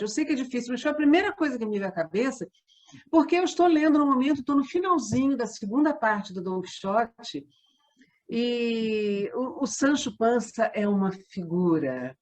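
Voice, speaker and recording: A woman's voice: a little high, expressive, normal speed, darkish tone. A woman with a Brazilian accent chatting casually as an interview guest, telling things emphatically. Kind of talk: casual chat.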